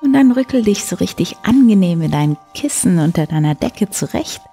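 A woman in her forties hosting a bedtime show, guiding the listener gently and soothingly with a soft, breathy voice. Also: mid-range voice, lively intonation, normal speed. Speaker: host of a bedtime story show. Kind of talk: guided sleep meditation, soft bedtime storytelling. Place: indoor room beside a wood stove. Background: soft music.

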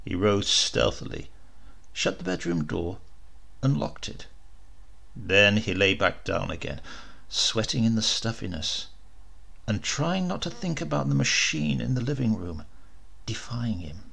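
A man narrating a horror short story dramatically, with deliberate, suspenseful, theatrical emphasis. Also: fairly low pitch, sing-song, vocal fry, sharp tone, husky. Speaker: audiobook narrator.

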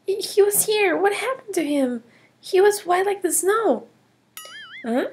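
A curious, cheerful girl, voiced by a woman narrates her own toy play adventure in a high, unhurried voice. She gasps often and drops into hushed whispers of wonder. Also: animated, exaggerated voice. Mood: insistent.

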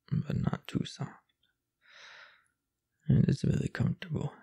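A young man playing a drunk, affectionate roommate in an intimate roleplay audio, slurring drowsily in a husky, halting voice. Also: dark tone, exaggerated voice. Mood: cozy, affectionate. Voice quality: husky, breathy, gravelly low voice. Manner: slow, halting, slurred drowsy tipsy delivery. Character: drunk, affectionate young male roommate confessing his crush.

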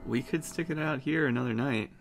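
A man playing a tabletop game in character, speaking in terse, deadpan phrases. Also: medium pitch, brisk, faint music behind. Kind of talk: in-character role-play.

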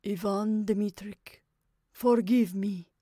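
A woman reading the part of a guilty, remorseful male convict who begs desperately for forgiveness, her voice raised and loud. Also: medium pitch, fairly even intonation, takes it slowly, dry recording, studio quality. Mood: pleading. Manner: imploring, desperate.

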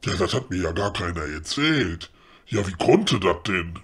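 A man playing a gruff, sarcastic, impatient fellow in a comedy audio drama, husky. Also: deep voice, expressive, a little slow, a bit bright, exaggerated voice. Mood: dry, probing. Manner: colloquial, dry, sarcastic, blunt.

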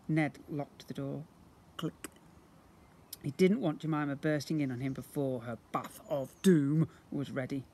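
A British man with a fairly high voice reads a children's story outdoors, with faint noise behind him. He is expressive and theatrical, stretching key words for emphasis and acting out the sound words. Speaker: teacher reading a story to young children. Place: outdoors beside brick houses. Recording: noisy outdoor amateur video recording.

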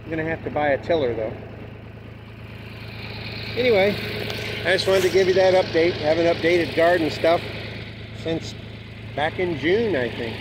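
A man giving a plain-spoken, unhurried walk-through of his farm garden outdoors, filmed on a handheld camera as he walks. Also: fairly high pitch, noisy background. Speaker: homestead vlogger. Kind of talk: casual walk-through narration. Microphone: handheld camera carried while walking.

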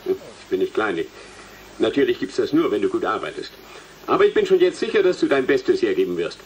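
A man's voice: medium pitch, big pitch swings, brisk, a little hiss or hum, close to an everyday voice, low-quality recording. An actor playing an arrogant, spiteful male university professor in a radio play, with a haughty, condescending manner. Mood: condescending, smug. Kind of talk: German radio play acting.

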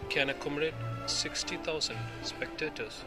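A man filming in an indoor exhibition hall, reading the display panels aloud slowly, pausing between phrases and restarting when he slips. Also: on the high side. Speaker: video host touring an exhibition. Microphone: handheld phone camera. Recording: loud music in the hall. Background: music playing.

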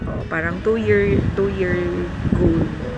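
A young Filipino woman chatting outdoors at night beside her partner, soft-spoken and chiming in, close to a handheld camera. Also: lowish voice, a little slow, highs cut off, loud music behind the voice. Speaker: vlogger's partner. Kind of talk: casual chat. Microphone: camera held close in selfie framing.

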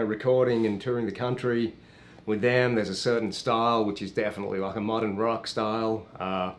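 An Australian man in his thirties, a guest musician, chatting in a studio interview with a slight American Southern drawl, friendly and full of "you know". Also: fairly low pitch. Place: small TV studio with green screen.

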